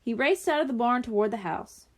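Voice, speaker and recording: High-voiced, animated woman reading a children's story. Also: brisk. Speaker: audiobook reader. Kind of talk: expressive children's story narration.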